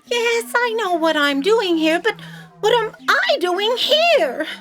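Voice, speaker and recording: A bewildered young alien intern in an audio comedy. She has a tiny, extremely high voice. She questions everything with indignation. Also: big pitch swings, takes it slowly, fairly bright tone, full character voice. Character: bewildered eighteen-year-old female tentacled alien bookish intern. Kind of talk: scripted audio comedy acting.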